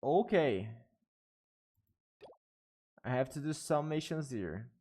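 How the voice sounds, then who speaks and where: Male game streamer, Portuguese accent, medium pitch, casual, halting, repeats himself. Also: lively intonation, dark tone. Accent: Portuguese-speaking. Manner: halting, repetitive phrasing. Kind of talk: casual live stream chat.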